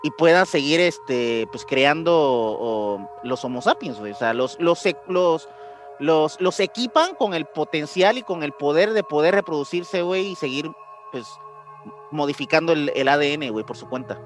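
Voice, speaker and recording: High-pitched Mexican man hosting a podcast. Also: expressive, moderate pace, faint music behind. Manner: informal, slangy, often searching for words. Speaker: podcast host. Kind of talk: casual podcast storytelling, part scripted.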